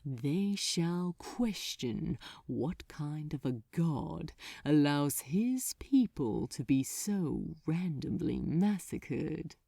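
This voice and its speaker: A woman voicing a commanding male demon lord in an audiobook, solemn and grandiose, with a hissing, softly alluring delivery. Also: deep voice, animated, drawn-out words, creaky, no room sound, studio quality, pitched below the performer's own voice, heavily acted. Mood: disgusted menace.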